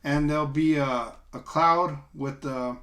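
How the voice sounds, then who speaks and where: A middle-aged man giving a casual, unscripted product demo, explaining step by step in an informal, halting way. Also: on the high side, fairly even intonation, fairly bright tone. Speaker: product reviewer. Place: small indoor room, seated at a table.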